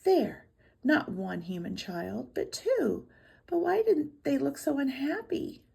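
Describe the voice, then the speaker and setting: A woman voicing a curious young talking puppy, excited and full of wonder, in a children's storybook. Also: high-pitched, slow, creaky, voice pushed up, heavily acted. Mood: eager, wondering.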